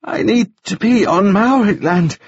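A high, bright, feverish young gentleman. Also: measured pace, husky, dull audio, full character voice. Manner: prim, overly courteous, dazed. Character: young wounded English gentleman, feverish, polite.

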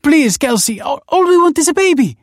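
A desperate woman acted out by a male narrator begs in a high, breathy, emotional voice that swoops about. She sounds imploring and urgent, as in an audiobook drama, recorded close and dry. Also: very animated, brisk, no room sound, heavily acted. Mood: desperate, pleading. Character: desperate pleading woman begging for a baby.

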